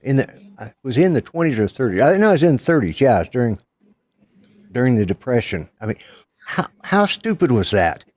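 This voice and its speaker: A man's voice: medium pitch, very animated, fairly quick, darkish tone, no room sound. A man in his sixties from Colorado with an Oklahoma accent, chatting casually in a folksy, blunt way and swearing now and then. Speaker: livestream participant. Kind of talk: casual chat.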